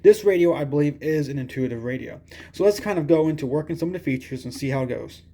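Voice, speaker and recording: A man's voice: fairly high pitch, fast, mellow, slight background noise. A man giving a casual, hands-on product review in a rambling, hesitant way with folksy phrasing.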